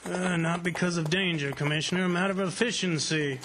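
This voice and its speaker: An actor playing a smug, unflappable plantation owner in old-time radio drama style, confident and offhand. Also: male voice, sits high, steady tone, fairly quick, background noise, natural voice. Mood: smug calm. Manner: confident, offhand, matter-of-fact.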